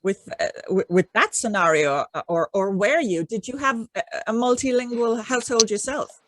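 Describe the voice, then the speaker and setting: A woman hosts an interview with eager, animated questions. Her voice sits a little high. The sound is dry and close, with no room around her. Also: moderate pace, no echo. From Ireland. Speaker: host.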